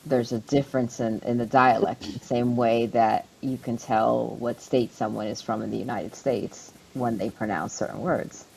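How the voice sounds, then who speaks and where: A woman's voice: extremely low pitch, steady tone, normal speed, vocal fry, few pauses, darkish tone, slight background noise, rough recording. A woman with a New Jersey accent hosting a podcast in casual group chat. She rambles and laughs often as she talks. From US East Coast. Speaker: podcast host.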